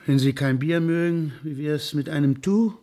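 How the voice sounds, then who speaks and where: Guy acting a worried staid husband type in old radio comedy, bit high pitched, pedantic and earnest. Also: normal speed, warm tone, close to an everyday voice. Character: staid, worried male earthling, petty official and husband. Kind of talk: comic German radio play acting.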